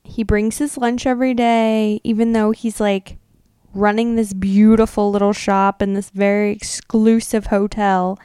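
A woman's voice: mid-range voice, little pitch movement, measured pace, warm tone, clear, resonant tone, no room sound, clean studio sound. An American woman co-hosting a podcast, retelling things casually in informal, slangy speech peppered with "like". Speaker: podcast co-host. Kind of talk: casual chatty retelling and commentary.

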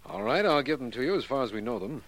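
An actor playing a busy, earnest, businesslike district attorney in a 1940s radio drama. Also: male voice, mid-range voice, expressive, lightly acted, poor audio. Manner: brisk, official.

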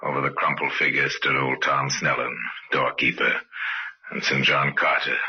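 A man's voice: extremely low pitch, level tone, normal speed, slight background noise. A man acting as the grave narrator of an old-time radio crime drama, telling it in terse, dramatic phrases. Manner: terse, dramatic storyteller delivery. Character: grave male storyteller of a murder case. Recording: dull old radio recording with music.

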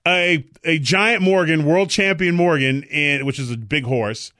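A man's voice: on the high side, measured pace, no room sound. Joking man with a dry, close sound. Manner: joking, loud excited outbursts, rambling asides. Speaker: co-host. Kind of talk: casual banter on a talk show.

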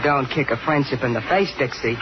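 A woman's voice: rumbling low, brisk. A woman playing a cool, worldly old friend in a 1950s radio drama. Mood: concerned, coaxing. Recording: muffled, noisy old radio recording.

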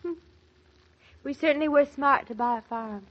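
A woman's voice: high-pitched, moderate pace, close to an everyday voice. An actress playing a playful, affectionate young American newlywed wife who frets over her husband, in old-time radio drama style. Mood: wistful, affectionate. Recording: muffled vintage radio transcription.

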